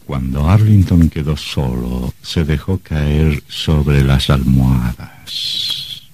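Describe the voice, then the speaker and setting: An actor playing a grave, ominous storyteller with a deep, rough voice, narrating a scripted radio drama. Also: male voice, slow pace, heavy background noise.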